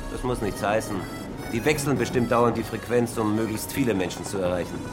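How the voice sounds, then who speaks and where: An actor playing a resolute naval officer who leads survivors at sea in a radio horror drama, sober and authoritative. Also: male voice, lowish voice, fairly quick, over music, lightly acted. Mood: determined.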